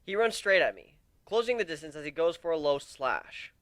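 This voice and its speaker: A man reads a fantasy story aloud as its narrator, in an amateur dramatic style. His voice is slightly high, and the recording is dry with no room sound. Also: very animated, normal speed, a bit bright, no echo. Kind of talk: amateur dramatic story reading, first-person.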